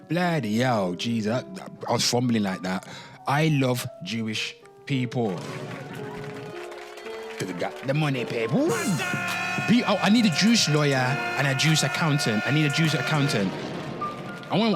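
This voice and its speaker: A man hosts a casual online commentary show with faint music behind him. His pitch swings widely as he rambles, repeats himself for emphasis and slips into dramatic readings. The talk is slangy and addressed straight to his listeners. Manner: slangy, frequently swearing, addressing listeners as people.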